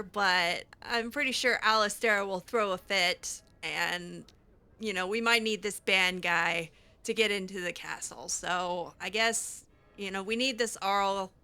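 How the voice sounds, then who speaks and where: A woman's voice: mid-range voice, sharp tone, clean tone. A woman improvising in character for a game roleplay, sardonic and hesitant, given to sighing. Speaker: gamer voicing her own character in a roleplay playthrough.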